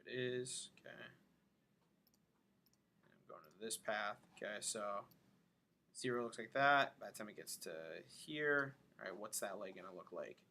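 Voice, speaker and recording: A man giving an informal software tutorial, thinking aloud as he demonstrates each step. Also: mid-range voice. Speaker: animation instructor. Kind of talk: casual screen-recorded tutorial narration.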